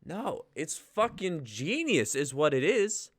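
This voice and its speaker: A man's voice: a little high, sing-song, takes it slowly, no room sound, studio quality, heavily acted. A man playing a protective, supportive friend in a comedy sketch reading, with a reassuring manner.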